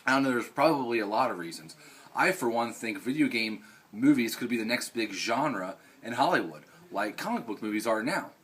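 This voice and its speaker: A man's voice: medium pitch, animated, fairly quick, a bit bright. Man hosting a vlog, distant mic. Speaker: host. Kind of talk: casual vlog commentary and chat. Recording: distant mic, faint background noise.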